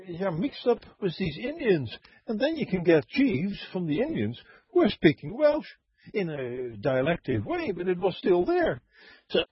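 Man, lively high voice, halting rambling caller, online voice chat. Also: slightly rough, no room sound, highs cut off. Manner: halting, laughs often, many fillers. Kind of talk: rambling call-in storytelling.

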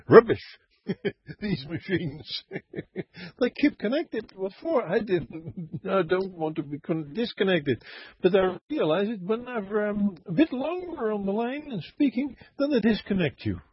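A man calling into an online voice chat show, telling a story in a halting, rambling way with many fillers and frequent laughs. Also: sits high, big pitch swings, slightly rough, dry recording, highs cut off. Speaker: caller.

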